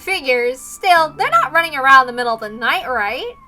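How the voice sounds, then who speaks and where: A woman's voice: high voice, sing-song, normal speed, music in the background. A woman voicing a brash, spirited teenage girl leader in an amateur reading of a horror visual novel. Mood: confident, spirited.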